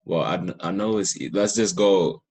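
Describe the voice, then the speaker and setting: A man's voice: deep voice, fairly even intonation, moderate pace, a little mellow, husky. A man hosting a casual interview, talking informally and searching for words as he shares his thoughts. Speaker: podcast host, interviewer.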